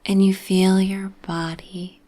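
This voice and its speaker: A woman narrating a bedtime sleep story in a soft, breathy, half-whispered, soothing ASMR manner. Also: mid-range voice, level tone, slow pace.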